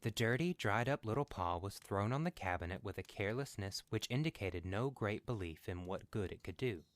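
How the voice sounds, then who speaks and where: A man's voice: fairly low pitch, expressive, a little fast, dry recording. A man narrating a scripted story as a podcast reader.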